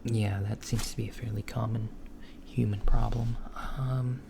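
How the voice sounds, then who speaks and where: A man playing a calm, attentive male alien doctor in a softspoken ASMR roleplay, talking gently and hesitantly, with frequent ums and slips into a whisper. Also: on the low side, creaky. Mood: gentle. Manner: soft-spoken, unhurried, slipping into whispers. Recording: lo-fi with steady background hiss.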